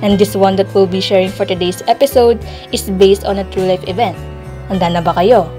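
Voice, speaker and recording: A woman's voice: medium pitch, fairly even intonation, brisk, dark tone, faint music behind. A woman with a Filipino accent co-hosting as a radio DJ in a scripted show intro. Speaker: radio DJ co-host.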